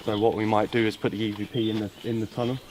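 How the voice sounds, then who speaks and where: Paranormal show host, male, lowish register, informal field commentary, recorded outside with noticeable ambient noise. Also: little pitch movement, fast. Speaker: paranormal investigator hosting an exploration video. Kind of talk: casual on-location ghost-hunt commentary, calling out questions. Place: outdoors in a rural spot. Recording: noisy outdoor location sound. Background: birds and outdoor ambience.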